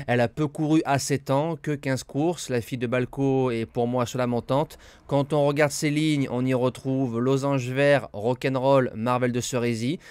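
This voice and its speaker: A man giving horse racing tips, slightly hoarse from a cold, listing his picks in a brisk, matter-of-fact way. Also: mid-range voice, darkish tone, dry recording. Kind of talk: betting tips monologue.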